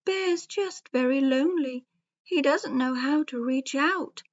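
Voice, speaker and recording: A wise old male owl from a children's storybook, acted out by a woman. The voice is lifted high and speaks in singsong rhyme. It is earnest and reassuring. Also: a little slow, highs cut off. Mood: sympathetic.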